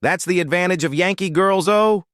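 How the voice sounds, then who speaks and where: A man with a Nigerian accent voicing an excitable, nosy young female student in a story, exclamatory and teasing. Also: high voice, normal speed, smooth, no room sound, studio quality, voice pushed up. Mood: excited envy.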